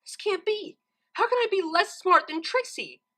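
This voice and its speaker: A woman's voice: very high-pitched, expressive, normal speed, sharp tone, pitched above the performer's own voice, full character voice. A woman voicing a smug, bookish female pony who corrects others primly in a story reading. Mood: distraught.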